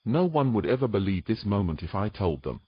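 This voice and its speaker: A man playing a grumpy, reluctant knight in a romantic fantasy audio drama, grumbling and breathy. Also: on the low side, sing-song, fairly quick, dry recording, dull audio. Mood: wry. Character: grumpy, reluctant male knight learning to swim.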